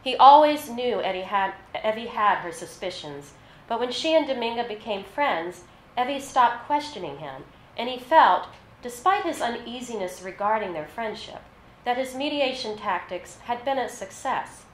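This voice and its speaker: A woman's voice: on the high side, expressive. Woman reading fiction in an echoey room. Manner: measured, scripted narration with read-out dialogue. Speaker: author reading short fiction aloud. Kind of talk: literary reading at a live launch event. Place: live reading in an echoey venue. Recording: roomy echo, faint background noise.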